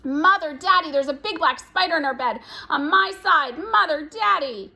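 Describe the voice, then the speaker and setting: A woman's voice: animated, normal speed, full character voice. A woman voicing a whiny little girl who squeals and shrieks in a storybook. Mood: squealing. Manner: squealing, high and loud.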